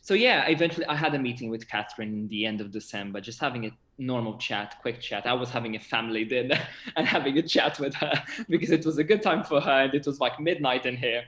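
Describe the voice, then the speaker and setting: A man's voice: mid-range voice, quick pace, fairly bright tone. A young man, talking casually and with effusive enthusiasm as an interview guest on a video call. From Portugal. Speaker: guest, theatre director and actor. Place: indoor room, video call. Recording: echoey room over video call.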